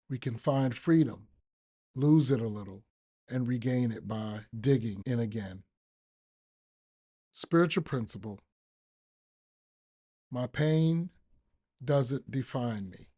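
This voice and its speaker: A man reads a short devotional text at a slow pace. His voice is mellow and relaxed, with deliberate phrasing and pauses. It sounds like a scripted daily reading for a podcast. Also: medium pitch, breathy edge. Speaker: podcast reader, recovering addict.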